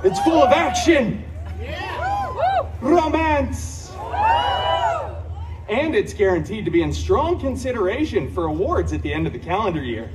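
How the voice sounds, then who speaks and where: An actor playing a wisecracking, campy superhero antihero telling a story on a theme park stage, theatrical and hammy with sarcastic asides. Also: male voice, sits high, very animated, unhurried, long pauses, distant mic, very noisy. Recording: live outdoor show, amplified over crowd and music. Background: audience crowd and music.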